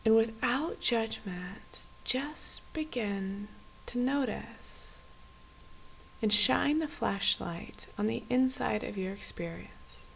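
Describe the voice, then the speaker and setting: Softly guiding woman, gravelly creak, faint hiss. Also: a little high, low-quality recording. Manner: gentle, unhurried, invitational phrasing with pauses. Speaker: meditation guide. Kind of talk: guided body scan meditation.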